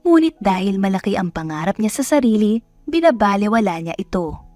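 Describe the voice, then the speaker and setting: A woman's voice: mid-range voice, big pitch swings, brisk, darkish tone, faint music behind. A woman with a Filipino accent reading scripted dramatic story narration as the narrator.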